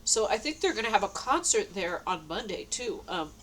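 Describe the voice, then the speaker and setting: A woman's voice: mid-range voice, big pitch swings, normal speed, a bit bright, a little hiss or hum. A woman with a stuffy nose, talking at home in a rambling, meandering way, full of fillers and restarts. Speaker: vlogger. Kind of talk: rambling personal diary talk. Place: at home indoors.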